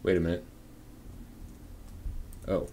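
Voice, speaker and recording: A man's voice: slow pace, mellow, a little hiss or hum. A man hosting a casual live stream, rambling and often muttering to himself as he works.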